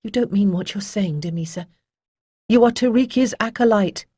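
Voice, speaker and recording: A woman voicing a calm young bride-to-be who speaks softly but firmly. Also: mid-range voice, normal speed, breathy edge, dry recording, clean studio sound, pitched above the performer's own voice, natural voice.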